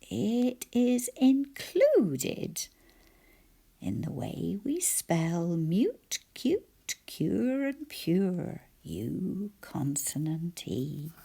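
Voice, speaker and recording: Slow, whispery woman teaching spelling. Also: lowish voice, sing-song, creaky, slightly rough. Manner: slow, soft-spoken, sounds out each letter.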